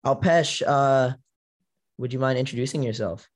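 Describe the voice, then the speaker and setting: A young American man hosting a podcast and interviewing in a casual way, his voice a little hoarse. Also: mid-range voice, moderate pace. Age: young adult. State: slightly hoarse. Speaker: podcast and college radio host. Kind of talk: casual show presenting and interviewing.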